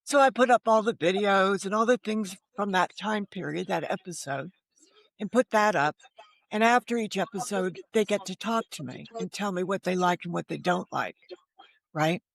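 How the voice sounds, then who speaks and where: A woman's voice: medium pitch, normal speed, gravelly, no room sound, poor audio. An American woman in her seventies chatting in a casual interview, anecdotal and emphatic in how she talks. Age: 70s. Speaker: podcast guest, novelist.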